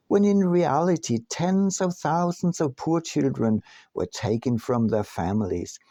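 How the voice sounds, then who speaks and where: A man narrating his own audiobook from a script in a deliberate, emphatic manner. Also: medium pitch, dark tone, no room sound. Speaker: author reading own book.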